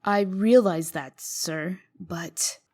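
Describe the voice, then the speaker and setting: A woman playing a brisk, exasperated fairy-tale princess turned official in a comic dub, curt and guarded. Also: lowish voice, exaggerated voice. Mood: restrained. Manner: curt, guarded, sharp-tongued.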